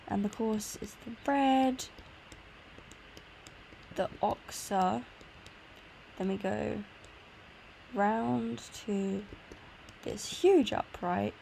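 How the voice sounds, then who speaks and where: Female gaming host, casual walkthrough narration, sore throat and tired, creaky voice over audible background noise. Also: mid-range voice, warm tone. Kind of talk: casual gameplay tour commentary.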